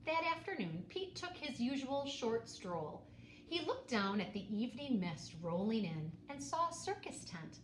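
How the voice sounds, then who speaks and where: A woman reads a children's picture book aloud in an expressive, dramatic way, pausing and stressing key words. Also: on the high side. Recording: roomy echo, faint background noise.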